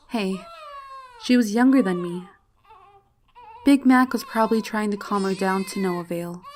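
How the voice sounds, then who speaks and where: A woman's voice: mid-range voice, slight background noise. A woman reading fan fiction as a dramatic first-person narrator, emotive and solemn in her storytelling. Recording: faint music behind the voice.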